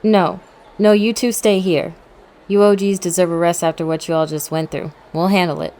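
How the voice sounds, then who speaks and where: Woman as lower-voiced confident superhero girl. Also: fairly even intonation, moderate pace, dark tone, close to an everyday voice. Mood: assured. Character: confident, supportive young female superhero recruit. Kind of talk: casual audio drama acting.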